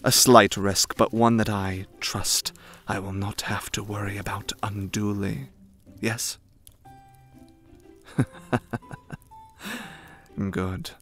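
A man's voice: fairly low pitch, flat delivery, takes it slowly, long pauses, fairly bright tone, faint music behind. A man playing a frightening, formal young noble retainer, dry and secretive, with pompous, precise archaic diction, in an ASMR roleplay. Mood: guarded, discreet. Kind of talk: ASMR roleplay, scripted character acting.

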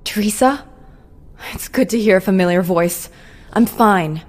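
Game heroine played by a woman: weary, hard-edged operative, medium pitch. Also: a little slow, darkish tone, close to an everyday voice. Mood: weary resolve. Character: tough, weary young female secret agent. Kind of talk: video game cutscene acting.

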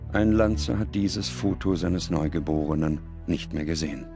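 A man delivering grave, measured scripted narration as a documentary narrator. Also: deep voice.

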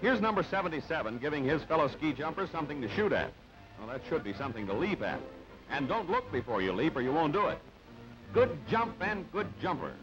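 Dull-sounding vintage newsreel man, high-pitched. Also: big pitch swings, a little fast. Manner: clipped, punchy, dramatic delivery. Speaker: newsreel narrator. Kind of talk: scripted newsreel narration. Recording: dull band-limited old newsreel soundtrack. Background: music.

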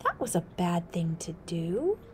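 A woman voicing a gentle, patient male river otter who speaks calmly and earnestly. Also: fairly low pitch, measured pace, slight background noise. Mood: reproachful.

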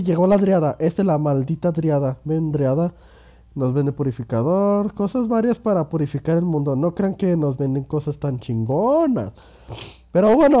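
A young Mexican man with a fairly high voice talks through a game while sick with a cold, loose and rambling, full of crude slang and jokes at his own expense. The sound is dull and lo-fi. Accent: Mexican Spanish. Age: 20s. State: sick with a cold and sore throat. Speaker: gaming youtuber. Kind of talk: casual gameplay commentary.

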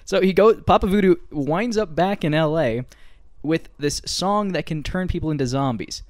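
A young man recapping a film's plot with friends in a living room, holding a microphone. His voice is slightly high, and he walks through events step by step with brisk energy. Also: a little mellow, no room sound. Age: young adult. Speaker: movie review co-host. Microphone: handheld mic.

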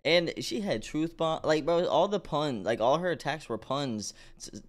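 A man's voice: fairly high pitch, animated, a little slow. A man giving slangy, appreciative reaction commentary as a video host.

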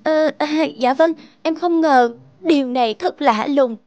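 A woman playing an anxious, hesitant young woman in love in an animated drama. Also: sits high, a little slow, no echo, muffled recording. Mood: startled, puzzled. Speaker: voice actor in an animated moral tale. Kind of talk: dubbed cartoon dialogue. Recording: music behind the voice.

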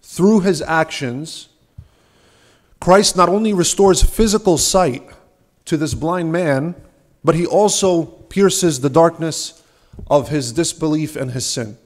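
A young man with a slightly high voice preaches from a lectern in a large, ornate church. His delivery is earnest and measured, with rhetorical questions along the way. He speaks into a lectern microphone. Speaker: subdeacon preaching. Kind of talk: sermon.

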